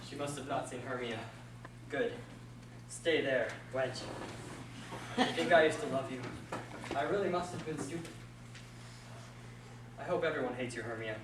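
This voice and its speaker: A man's voice: medium pitch, moderate pace, long pauses, lightly acted. A man playing an impulsive, lovestruck young lover in a stage play, earnest and melodramatic, recorded from far off in an echoey hall. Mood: infatuated. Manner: earnest, playful, swinging to melodrama. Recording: echoey hall, distant mic, noisy.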